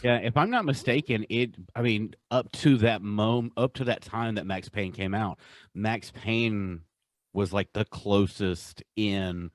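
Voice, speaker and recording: Low-voiced man in casual enthusiastic podcast chat. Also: steady tone, measured pace, no room sound. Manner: enthusiastic, often repeats himself for emphasis. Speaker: podcast co-host. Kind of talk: casual pop-culture countdown chat.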